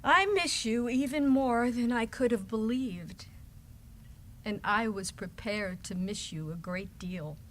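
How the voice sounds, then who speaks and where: A woman giving a deliberate, dramatic reading of a love letter. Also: on the high side, a bit bright. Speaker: reader in an amateur readers theater. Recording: background noise, live room ambience.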